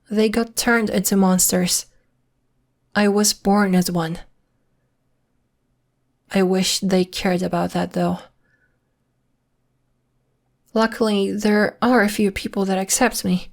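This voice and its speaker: A woman acts out a young female half-werewolf for an intimate ASMR roleplay. She speaks very slowly at a medium pitch, gentle and a little hesitant. She comes across as polite, guarded and protective, explaining things earnestly to one listener. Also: natural voice. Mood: wistful.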